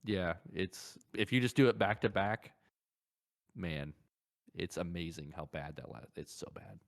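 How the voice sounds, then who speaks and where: Low-voiced man in casual conversation. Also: expressive, unhurried, gravelly. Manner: emphatic, repetitive, informal. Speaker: podcast co-host. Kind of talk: casual chat about games and shows.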